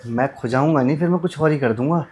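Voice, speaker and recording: An actor playing a sleazy young man who poses as a doctor, talking smooth and suggestive in a short film. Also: a little high, fast, darkish tone, natural voice.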